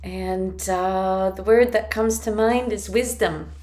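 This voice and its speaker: A gentle woman from British Columbia introduces herself at a very slow pace. Her voice is mid-pitched. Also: steady tone, slight background noise. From British Columbia, Canada. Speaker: participant.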